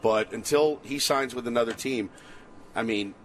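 A man's voice: mid-range voice, normal speed. A man co-hosting casual sports talk, giving opinions emphatically and repeating short phrases for weight. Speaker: sports radio co-host. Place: outdoor patio at a ballpark complex. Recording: clear ambient background noise.

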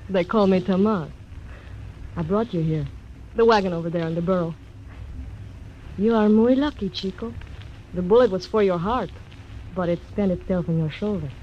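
A woman's voice: medium pitch, normal speed, pauses often, highs cut off, a little hiss or hum, natural voice. An actress voicing a mysterious, teasing young Mexican woman with a Spanish accent, in 1940s radio acting style. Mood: teasing, fatalistic.

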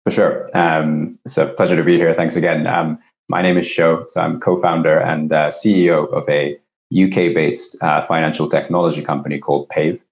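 Man, extremely deep voice, from Japan, executive podcast guest, explanatory conversational answers, slightly muffled lo-fi audio. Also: level tone, moderate pace. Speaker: company CEO, podcast guest.

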